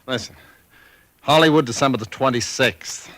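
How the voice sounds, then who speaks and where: An actor playing a matter-of-fact man in a 1940s radio drama, deliberate and sober as he reads aloud. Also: mid-range voice, a bit bright, no echo, close to an everyday voice. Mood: intrigued, sober. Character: matter-of-fact man reading a grim news clipping.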